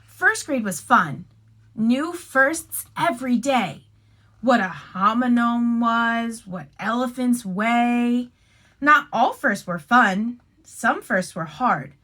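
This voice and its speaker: A woman teacher reading a picture book aloud to young children, expressive and instructive, with casual asides. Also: fairly high pitch, slow pace.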